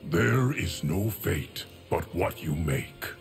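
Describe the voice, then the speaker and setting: Ancient male guardian, video game, solemn, formal, unhurried, deep bass, music behind. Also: expressive, creaky, full character voice. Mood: grave. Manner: measured, formal, grave. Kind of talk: video game character dialogue.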